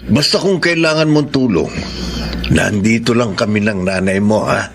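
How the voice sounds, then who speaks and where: A man's voice: lowish voice, expressive, normal speed. A man with a Filipino accent playing a kindly, supportive middle-aged father in a radio drama. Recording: clearly noisy background ambience.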